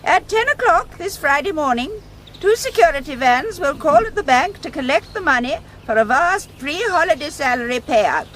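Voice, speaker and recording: A woman's voice: high voice, animated, normal speed, a bit bright. An actress playing a genteel, composed elderly lady masterminding a crime in a radio drama, polite, precise and firm. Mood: brisk, assured. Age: older.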